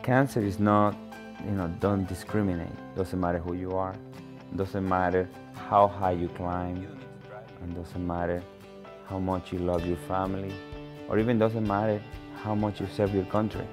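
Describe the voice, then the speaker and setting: A man's voice: sits low, little pitch movement, normal speed, music in the background. A middle-aged man from the Dominican Republic with a Dominican Spanish accent, a native Spanish speaker, giving a personal testimonial. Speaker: cancer patient telling his story. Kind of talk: personal testimonial in a promotional documentary.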